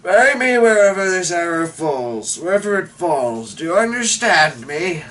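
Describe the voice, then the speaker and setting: A man's voice: sits high, sing-song, moderate pace, a bit bright, pitched above the performer's own voice. A man voicing an old dying knight, defiant and grumbling, in a declamatory storybook reading. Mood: defiant commanding. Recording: distant mic with noticeable background noise.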